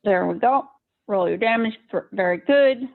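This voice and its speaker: A woman on an online tabletop game call, casually giving practical help with the software. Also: mid-range voice, normal speed. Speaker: game participant helping with the software. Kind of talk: casual, instructional game chat. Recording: thin phone-like online call audio.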